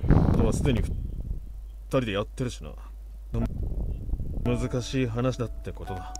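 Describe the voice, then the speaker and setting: An actor playing a brash, caring father in a visual novel, with gruff, blunt, colloquial delivery. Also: medium pitch, quick pace, lightly acted, rough recording. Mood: gruff, irritated. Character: brash, rough-talking but caring father. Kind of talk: Japanese visual novel voice acting. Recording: game audio with clear background music. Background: music.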